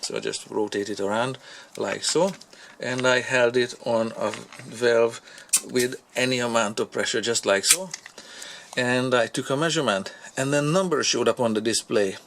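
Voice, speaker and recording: A man talks through a product in a casual, hands-on review at a workbench. His delivery is halting, as if working out each thought aloud, at a medium pitch. There is some noise behind him. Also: a bit bright. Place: indoor workshop at a workbench. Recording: clear background noise, handling clicks.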